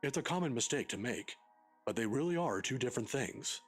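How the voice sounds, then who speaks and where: A kindly old professor from a video game, acted by a man. His voice is husky and moves up and down with lively expression as he patiently explains things in a scholarly, exacting way. Faint music plays behind him. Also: medium pitch, a little fast, lightly acted. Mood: patient, explaining. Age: older. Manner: scholarly, pedantic, given to chuckling.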